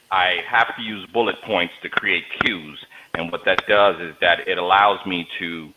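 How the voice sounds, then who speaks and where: A man's voice: sits low, background noise. A man, a guest in an interview, telling stories in a joking, conversational way over a video call. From New York, USA. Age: 40s. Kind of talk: casual interview talk.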